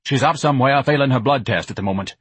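A man's voice: mid-range voice, fast, fairly bright tone, husky, dry recording, voice pushed up. A man voicing a pompous, self-pitying, overweight grown man who talks in grand, melodramatic speeches.

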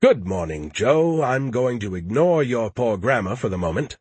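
A man's voice: mid-range voice, big pitch swings, moderate pace, a little mellow, slightly rough, no room sound. A man voicing a smug, condescending male artificial intelligence in a pompous, mocking, theatrical way for a story.

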